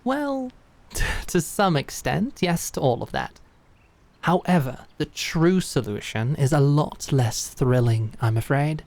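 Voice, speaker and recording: A woman's voice: sits low, expressive, slow, darkish tone, heavily acted. A woman playing a dry, wry knight mentor. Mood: instructive. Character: stern, teasing female knight and sword master. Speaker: sword instructor. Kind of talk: fantasy audio drama acting.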